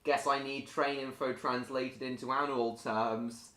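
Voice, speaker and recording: A man hosts a live stream, chatting casually and rambling to his viewers. His voice sits at a middle pitch. He is heard in an echoey room. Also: fairly even intonation, unhurried, pushed and bright. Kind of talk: casual chat while reacting to videos.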